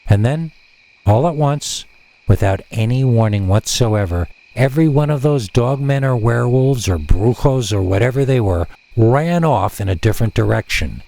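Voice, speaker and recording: A man narrating a scripted scary story as a storyteller, in a theatrical, suspenseful way with deliberate pauses. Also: mid-range voice, lively intonation, gravelly, dark tone, poor audio.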